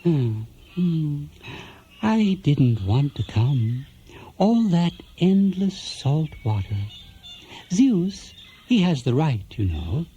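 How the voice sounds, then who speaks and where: A man's voice: fairly high pitch, big pitch swings, very slow, mellow, lo-fi sound, a little hiss or hum, heavily acted. An actor playing a reluctant, grumbling male messenger god in a radio play, muttering and humming grudgingly. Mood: grudging, weary. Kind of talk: 1970s radio play acting.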